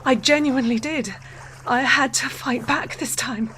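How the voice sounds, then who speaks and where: An actress playing a haunted, unhinged wife in a dramatic audio drama monologue, deliberate and intense, repeating phrases. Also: female voice, sits high, fairly even intonation, sharp tone, slight background noise. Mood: intense, fearful. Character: haunted, unhinged wife narrating a violent confession.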